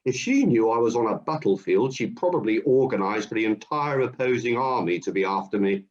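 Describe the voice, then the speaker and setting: A man's voice: on the low side, animated, a little fast, close to an everyday voice. Hercules, a man playing a cocky strongman. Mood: glum. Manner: cheerfully overconfident, matter-of-fact. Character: Hercules, strong, keen, job-hunting hero. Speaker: job seeker. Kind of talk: comic radio play acting. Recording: dull lo-fi audio.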